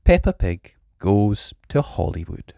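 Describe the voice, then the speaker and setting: A woman reading a children's story aloud as an expressive storyteller. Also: a bass voice, slow, vocal fry, no echo, studio quality.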